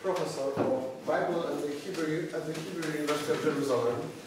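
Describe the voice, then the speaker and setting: A man in his thirties, hesitant and halting, giving a formal introduction of a guest lecturer from notes. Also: a little high, steady tone, far from the mic. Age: 30s. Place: small lecture room with seated audience, indoors. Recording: echoey room from afar, noisy background.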